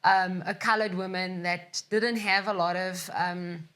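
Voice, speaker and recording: A woman's voice: fairly low pitch, steady tone, measured pace, fairly bright tone. A woman with a South African accent, a netball coach, answering interview questions thoughtfully with many ums. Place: studio.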